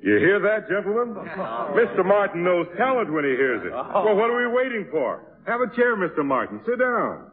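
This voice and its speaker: A fatherly jazz bandleader, his voice swinging widely in pitch. He is folksy, amused and encouraging, heard through the narrow, muffled sound of 1950s radio drama. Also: sing-song, normal speed. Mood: delighted.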